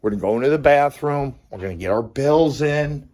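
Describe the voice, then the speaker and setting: A man's voice: medium pitch, expressive, brisk, a little mellow, a little hiss or hum. A man in his fifties with a mid-range voice talks casually as a fitness vlogger. His delivery is informal and plainspoken, with blunt, slangy encouragement aimed straight at the viewer. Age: 50s. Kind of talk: casual motivational talk to camera during a morning workout.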